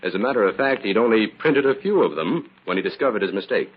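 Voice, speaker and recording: Man playing low-voiced, self-assured radio detective. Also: animated, a little hiss or hum, close to an everyday voice. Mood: calm, assured. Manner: measured, explanatory. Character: self-assured amateur detective explaining a case, adult man. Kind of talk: 1940s radio acting. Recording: dull, muffled vintage radio recording.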